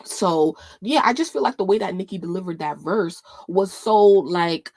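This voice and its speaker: A woman's voice: fairly low pitch, lively intonation, moderate pace, darkish tone, no echo, studio quality. A woman in her late twenties from New York, with a Caribbean lilt that sounds Jamaican, vlogging in a rambling casual chat that keeps interrupting itself. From New York, USA. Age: late 20s. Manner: rambling, self-interrupting, laughs often.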